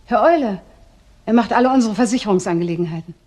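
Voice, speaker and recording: An actress playing a proud, trusting wife in a crime drama, speaking in husky, halting, deliberate phrases. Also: female voice, mid-range voice, darkish tone, close to an everyday voice. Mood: defiant, loving. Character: proud, trusting wife defending her suspected husband. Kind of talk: German TV crime drama dialogue.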